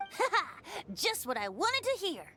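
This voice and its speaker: Breezy teenage-sounding boy bard from a game, playful, high pitched, music behind. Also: wildly swooping pitch, unhurried, pushed and bright, exaggerated voice. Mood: relieved, amused. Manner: playful, theatrical. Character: playful boyish young male bard, breezy and theatrical. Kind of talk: video game voice acting.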